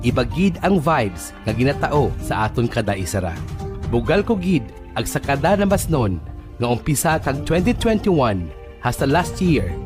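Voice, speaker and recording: A man's voice: medium pitch, very animated, moderate pace. A man with a Filipino accent giving a prepared holiday address. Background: loud music.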